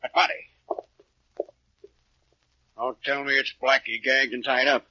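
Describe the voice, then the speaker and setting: Forties radio cop sidekick: sheepish, obedient, rough-edged mid-range voice, lo-fi vintage recording. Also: male voice, mid-range voice, flat delivery, a little slow, bright, forceful tone, full character voice. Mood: surprised. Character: sheepish, deferential police detective sidekick. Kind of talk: 1940s radio drama acting. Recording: dull, lo-fi old radio recording.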